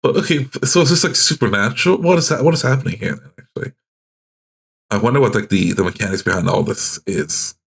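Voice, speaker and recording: A man doing casual live reaction commentary as a streamer, informal and halting as he thinks aloud. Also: medium pitch, lively intonation, creaky, husky. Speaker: streamer reacting to horror videos.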